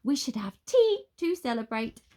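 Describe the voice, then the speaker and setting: A woman voicing a flamboyant, excitable male wizard who speaks in a grand, overly polite way. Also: sits high, moderate pace, voice pushed up. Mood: grandiose.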